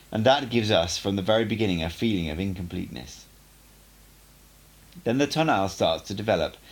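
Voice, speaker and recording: A man's voice: low-pitched, full character voice. A man voicing a playful old sorcerer who teaches with patient, lecturing explanations. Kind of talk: audiobook character dialogue.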